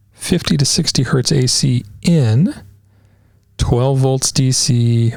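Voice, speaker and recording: A man's voice: medium pitch, gravelly, a little mellow, dry recording. A man doing a casual, wry walkthrough of an audio product, with sarcastic asides. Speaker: product reviewer.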